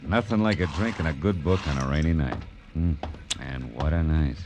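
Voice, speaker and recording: An actor playing a world-weary, wisecracking private detective in hard-boiled 1940s radio drama, wry and clipped. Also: male voice, a bass voice, normal speed, noisy background. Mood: weary, impatient. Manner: wry, clipped, tough-talking.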